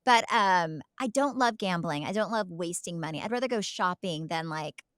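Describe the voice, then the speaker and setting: A woman's voice: lowish voice, lively intonation, normal speed, fairly bright tone, no room sound, clean studio sound. A woman co-hosting a podcast in casual, candid conversation. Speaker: podcast co-host.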